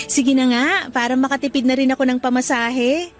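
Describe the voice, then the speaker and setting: A Filipino actress playing a soft-hearted, devoted young girlfriend in a radio drama, emotional and imploring. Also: high-pitched, measured pace, soft background music. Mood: shy, light.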